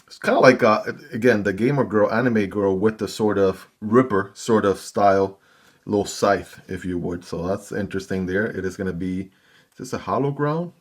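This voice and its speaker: Low-voiced man reviewing gear. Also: normal speed. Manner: chatty, talks straight to viewers. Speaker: knife review channel host. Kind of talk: casual product unboxing and review.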